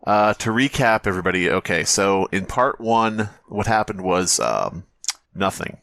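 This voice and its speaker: A man's voice: lowish voice, animated, normal speed, a bit bright. A man co-hosting a comedy podcast, bantering casually in a playful, mock-serious way. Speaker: podcast co-host.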